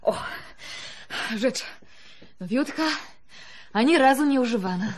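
A teasing, earthy brothel woman of a radio play. Her high voice swings widely and takes its time. She is coquettish and colloquial, over faint noise. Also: expressive, slow pace. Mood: playful, coaxing. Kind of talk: radio drama acting.

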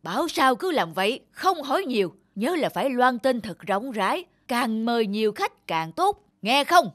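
A man's voice: extremely high pitch, big pitch swings, moderate pace, a bit bright, no echo, clean studio sound, full character voice. A man voicing a stout, proud, blustering village landlord in a cartoon, with an emphatic, formal way of talking. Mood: commanding. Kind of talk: cartoon dialogue.

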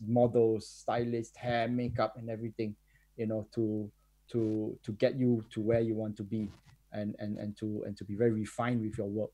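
A middle-aged man hosting a talk on a video call, introducing and interviewing conversationally, halting and repeating words as he builds his points. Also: lowish voice, mellow. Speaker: host.

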